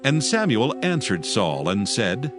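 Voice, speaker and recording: A man's voice: medium pitch, sing-song, unhurried. A man narrating a dramatized Bible audiobook in a formal storytelling delivery. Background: background music.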